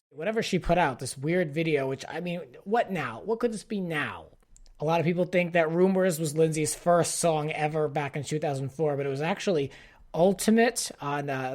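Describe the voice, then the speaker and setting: A man with a fairly high-pitched voice hosts a casual podcast. He talks informally and encouragingly, and the sound is dry with hardly any room in it. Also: no echo. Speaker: podcast host.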